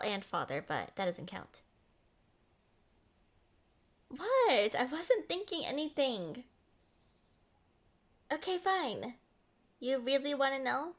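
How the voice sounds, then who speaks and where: Girl voice actor doing a spoiled lovestruck young princess, really high voice, swoops around a lot, coy and possessive, romance roleplay. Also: heavily acted. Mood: coy, commanding. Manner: coy, entitled, playfully defensive. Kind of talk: scripted romantic roleplay audio.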